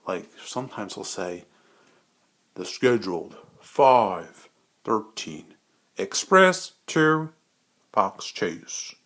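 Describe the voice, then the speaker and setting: Male hobby vlogger, fairly low voice, informal and keen, circles back over his words haltingly. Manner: hesitant, repetitive, enthusiastic fan talk. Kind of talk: casual rambling chat.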